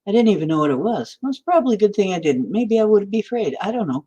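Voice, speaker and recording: A woman's voice: medium pitch, sing-song, fast talker, mellow, slightly rough, muffled recording. A woman in her sixties chatting in a meandering way on a livestream, answering viewer comments as she goes. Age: 60s. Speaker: livestream host. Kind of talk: rambling livestream chat.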